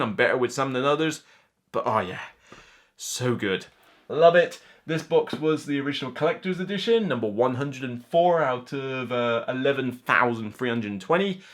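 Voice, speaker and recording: A man's voice: medium pitch, fairly bright tone. A man in his thirties giving an enthusiastic, informal and emphatic board game countdown review to camera, speaking indoors. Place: indoor room lined with game shelves.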